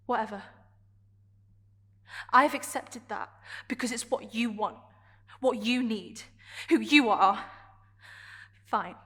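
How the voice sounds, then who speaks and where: An actress as higher-voiced vulnerable, defiant young woman. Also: pushed and bright, husky, exaggerated voice. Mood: hurt, defiant. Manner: halting, deliberate, emotionally charged phrases. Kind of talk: dramatic stage monologue.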